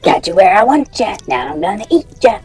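A podcast host voicing a gross monkey that taunts from a closet in a quick sing-song chant, reading a campfire story. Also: medium pitch, very animated, darkish tone, slightly rough, soft background music. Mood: taunting.

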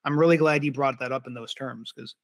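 A man's voice: mid-range voice, fairly even intonation, fairly quick, no room sound, studio quality. A man hosting a podcast interview, chatting casually and affirming his guest with upbeat remarks. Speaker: podcast host.

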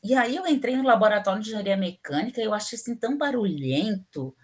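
A middle-aged woman with a southern Brazilian accent, chatting as a guest on an interview podcast. She talks at an ordinary pitch for a woman, in a loose, opinionated way with frequent fillers. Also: animated, fast. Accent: southern Brazilian Portuguese. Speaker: civil engineering professor, guest. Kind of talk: casual interview talk.

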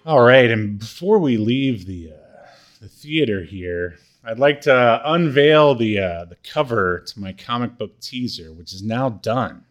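A man hosts a podcast in an easy, informal chat. His voice sits at a middling pitch, and he speaks in a hesitant, stop-and-start way. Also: expressive, a little slow, faint music behind. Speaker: podcast co-host. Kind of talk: casual chat.

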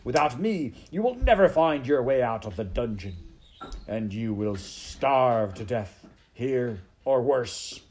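A man's voice: lowish voice, lively intonation, slow, warm tone, a little hiss or hum. A man voicing a spiteful, pompous rat villain. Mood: haughty, warning. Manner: menacing, pompous, cold formal speech. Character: spiteful, commanding male rat villain in a dungeon.

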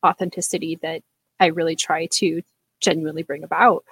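A woman co-hosting a podcast in relaxed, candid chat, laughing often between thoughts. Her voice sits low and husky, with a gravelly fry at the edges. It is recorded dry and close. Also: a little fast, dry recording. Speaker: podcast co-host. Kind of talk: casual conversational chat.

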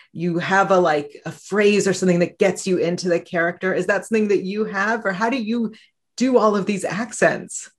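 A woman hosting a podcast, eagerly asking a guest curious questions. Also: medium pitch. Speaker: podcast host. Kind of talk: interview questions.

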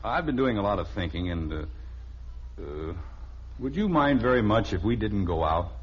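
Grumbling radio-sitcom dad, lively swings. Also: male voice, lowish voice, normal speed, husky. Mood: wistful, hesitant. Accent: American. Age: middle-aged. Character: grumbling, soft-hearted middle-aged family man, a father. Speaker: actor. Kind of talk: old-time radio family comedy acting. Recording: dull, noisy old radio recording.

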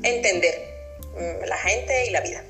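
A woman from Venezuela, a sociologist guest, answering thoughtfully with pauses and easy laughs. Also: medium pitch, vocal fry, a bit bright, soft background music. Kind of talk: casual interview answers.

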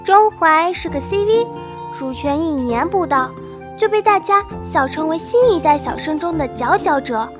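A woman's voice: sits high, animated, normal speed, music in the background. A woman narrating a light comic online radio drama in a scripted storytelling style.

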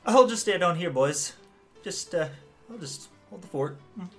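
A man's voice: sits high, expressive, normal speed, soft background music. A man playing a timid, awkwardly friendly mama's-boy outlaw in a comic Western audio play, hesitant and over-polite.

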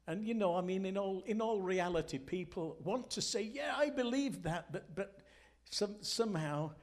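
A man preaching a Sunday sermon and telling stories, earnest and deliberate, with emphatic phrasing and dramatic pauses. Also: high-pitched. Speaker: guest preacher, former pastor. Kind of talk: Sunday morning sermon, storytelling.

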